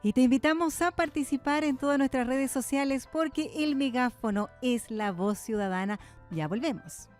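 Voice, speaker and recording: A woman's voice: high-pitched, normal speed, a little mellow, soft background music. A woman presenting a talk show as co-host in scripted links.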